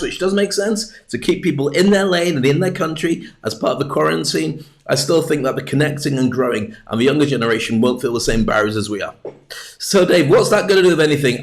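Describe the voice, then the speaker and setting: A British man hosting a livestream, talking in a chatty, jokey, rambling way into a webcam mic at his desk. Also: mid-range voice. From Britain. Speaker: livestream host. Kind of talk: rambling casual monologue.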